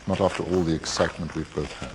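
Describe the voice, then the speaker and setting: Very deep-voiced, dry, formal wizard played by a man. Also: quick pace, background noise. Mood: dry, calm. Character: protective, dry, reserved middle-aged wizard man. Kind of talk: fan audio drama acting.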